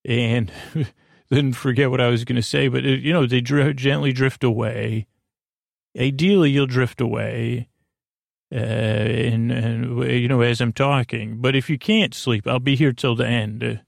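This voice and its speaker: Man, gravelly creak, dry close sound, gentle, reassuring, halting, meandering sleep-podcast monologue. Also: mid-range voice, no echo. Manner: meandering, halting, trails off mid-thought. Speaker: podcast host. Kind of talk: rambling bedtime monologue for sleep.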